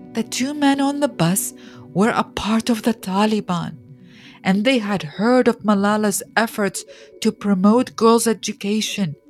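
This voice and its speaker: A woman narrator reading a scripted children's biography in a deliberate, expressive storytelling manner. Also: medium pitch. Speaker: audiobook narrator.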